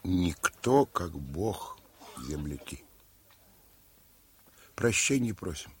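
A deep-voiced, polite soldier. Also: male voice, extremely slow, exaggerated voice. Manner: brief, polite old-fashioned replies. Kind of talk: 1970s radio play acting. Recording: old radio recording with steady hiss.

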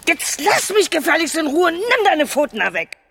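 An actress playing an agitated, aggrieved wife in a naturalistic radio drama, talking in a colloquial, indignant, pushy way. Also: female voice, very high-pitched, big pitch swings, normal speed, fairly bright tone, no echo, heavily acted. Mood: bitter, indignant.

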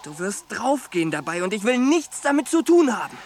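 Brash, blunt teenage pal, highish lively voice that jumps around, worried but down-to-earth, radio drama. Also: male voice, fairly quick, a little hiss or hum. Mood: worried, teasing. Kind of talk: German radio drama acting.

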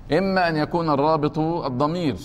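A man teaching a grammar class, explaining slowly and repeating points, often stopping to ask whether it is clear. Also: fairly high pitch. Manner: deliberate, repeats points and keeps asking if it is clear. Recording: long reverb of a hall.

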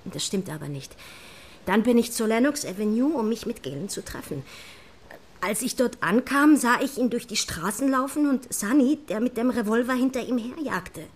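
A woman's voice: a little high, expressive, normal speed. An actress playing a frightened teenage girl in a crime radio drama, confessing in a hesitant, anxious manner. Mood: confessing, subdued.

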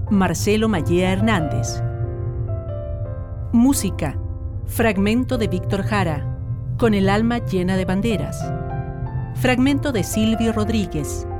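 A woman with a Chilean accent reading scripted credits as a radio announcer. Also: mid-range voice.

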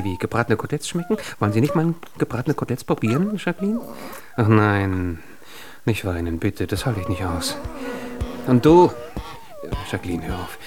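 An actor playing a contemptuous courtier who insults as he flatters, florid and biting, in an absurdist radio drama. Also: medium pitch, background noise, close to an everyday voice. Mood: coaxing, then sharp warning.

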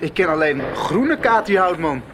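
An actor playing a touchy but easygoing teenage schoolboy in a radio soap. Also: male voice, high-pitched, sing-song, moderate pace, very noisy. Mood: surprised, casual.

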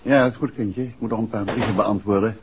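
An actor playing an unhurried, courteous middle-aged detective and husband in a 1960s radio play, dry and lightly amused. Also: male voice, fairly low pitch, steady tone, noisy background, natural voice. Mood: relaxed, amused. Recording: muffled old 1960s radio recording.

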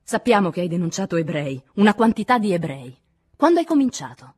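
A fierce young woman acted by a female audiobook reader bent on vengeance. She is loud and harsh, pitched up and husky, pressing every demand violently. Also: lively intonation, a little fast. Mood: menacing, furious. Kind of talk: dramatic audiobook character acting.

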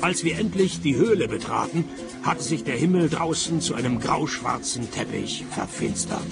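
An actor playing a world-weary, sarcastic private detective who narrates and talks in a dry, deadpan way in a radio play. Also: male voice, medium pitch, moderate pace, gravelly, over loud music. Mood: dry, weary narration. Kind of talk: hard-boiled detective radio play, first-person narration and dialogue.

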